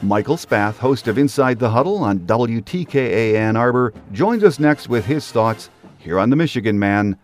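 A man's voice: mid-range voice, expressive, normal speed, hardly any pauses, soft background music. An American man hosting a sports podcast, reading a scripted monologue.

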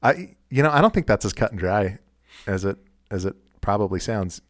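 A man's voice: on the low side, big pitch swings, normal speed, dry recording, studio quality. A man co-hosting a podcast in casual, informal conversation. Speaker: podcast co-host. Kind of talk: casual chat.

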